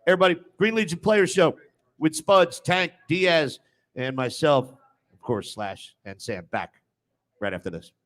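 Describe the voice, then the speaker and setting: A man's voice: on the high side, measured pace, a bit bright. A man hosting a casual sports radio show. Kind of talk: casual sports talk with live ad reads.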